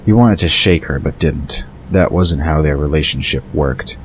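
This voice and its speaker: Very deep-voiced male narrator. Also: normal speed, a little hiss or hum. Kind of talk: scripted audio drama narration.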